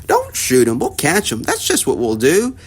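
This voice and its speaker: Man doing excited boisterous hunters. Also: fairly high pitch, normal speed, slightly rough, heavily acted. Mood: amazed. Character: excited rowdy male hunters, greedy and boisterous.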